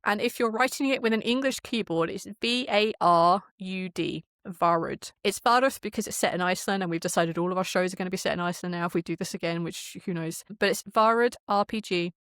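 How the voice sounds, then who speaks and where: A woman chatting casually as a podcast guest, telling things in an animated, enthusiastic way. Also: on the low side, a little fast, few pauses, smooth, no room sound, clean studio sound. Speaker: podcast guest, audio drama creator and game master. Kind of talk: casual interview chat.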